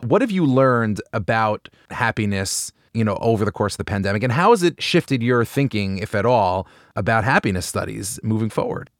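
A man's voice: on the low side, sing-song, normal speed, hardly any pauses, no room sound, clean studio sound. A man hosting a podcast who asks questions casually and hedges his words. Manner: hedging, casual, many fillers like "like". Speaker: podcast host.

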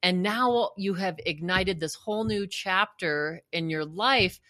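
A woman's voice: lowish voice, a little slow, pushed and bright, dry recording. A woman hosting a podcast, talking in an upbeat, effusive way as she greets her guest. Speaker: podcast host. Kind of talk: enthusiastic interview chat.

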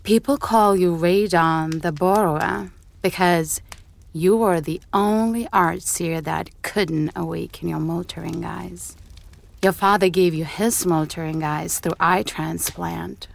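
Bold slave woman, low and creaky. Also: steady tone, slow pace. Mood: intimate, persuasive. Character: bold, knowing enslaved woman, lover of a ruler. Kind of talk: fantasy audio drama acting.

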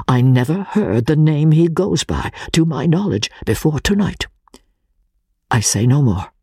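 Man acting a husky, anguished foreign gentleman, confessing. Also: medium pitch, no echo, clean studio sound, full character voice. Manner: hushed, emphatic, solemn pleading. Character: anguished foreign gentleman confessing secret brotherhood, honour-bound and fearful. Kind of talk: dramatic audiobook reading of classic novel.

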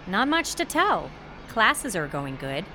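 An actress playing a sheltered young college student in an audio drama, wry, guarded and self-deprecating, in a naturalistic style. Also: female voice, medium pitch, sing-song, slow pace, pushed and bright, faint music behind, heavily acted. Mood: placating. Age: young adult.

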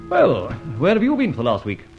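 An actor playing a disgraced army officer turned adventurer in an old radio drama, with theatrical, old-fashioned gentlemanly phrasing and a husky voice. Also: male voice, on the high side, wildly swooping pitch, fairly quick, faint music behind. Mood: brooding, resolute. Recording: dull, lo-fi old radio recording.

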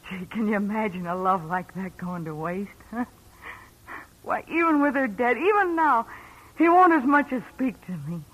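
A woman's voice: fairly high pitch, expressive, normal speed, fairly bright tone, poor audio. An actress playing a bitter, jealous gangster's ex-girlfriend in a 1940s radio drama, brassy and emotional.

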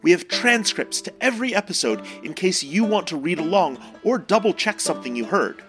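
A man's voice: sits high, animated, brisk. A man reading scripted sponsor messages and show announcements as a podcast host. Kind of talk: scripted promotional read. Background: music.

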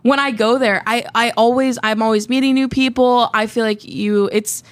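A woman's voice: on the high side, fairly even intonation, normal speed, no room sound. A woman hosting a podcast and chatting casually, rambling and peppering her talk with "like". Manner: rambling, heavy use of "like". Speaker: podcast co-host and stand-up comedian.

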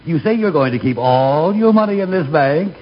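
An actor playing a pompous, genial bank president in a 1940s radio comedy. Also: male voice, a little high, expressive, moderate pace, natural voice. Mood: jovial. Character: pompous, genial male bank president, self-important and easily amused. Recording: muffled, noisy 1940s radio recording.

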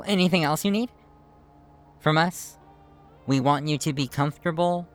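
A man playing a conciliatory, smooth-talking producer in a scripted drama. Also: a little high, normal speed, a bit bright, soft background music, natural voice. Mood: placating. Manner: placating, persuasive.

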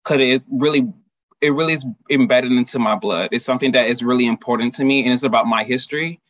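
A man's voice: mid-range voice, fast, a bit bright, no echo. Young guy from houston talking about himself on a panel, dry and kinda muffled audio. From Houston, Texas. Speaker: panel guest, marketing manager. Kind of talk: personal account in a panel discussion. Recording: dull, slightly lo-fi sound.

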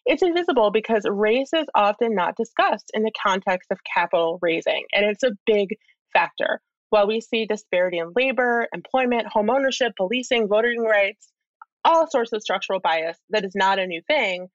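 A dry, slightly muffled recording of a woman with a mid-pitched voice. She talks as an interview guest, thoughtfully laying out her argument and stressing points in a conversational flow. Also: no echo. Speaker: interview guest, expert commentator.

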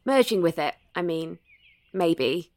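A woman's voice: fairly low pitch, animated, slow pace, a little mellow, no echo. A woman playing a cautious, practical, sensitive ghost hunter in an audio drama, talking in a tentative, conversational way. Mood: uncertain.

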